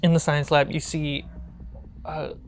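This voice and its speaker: A low-pitched woman narrates a tabletop horror game as game master. She tells it informally and descriptively. There is clear room noise behind her. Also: little pitch movement, a little slow.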